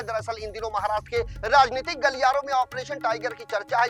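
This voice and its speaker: A man's voice: sits high, a bit bright. A man reading a scripted news bulletin as anchor, formal and emphatic. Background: music bed.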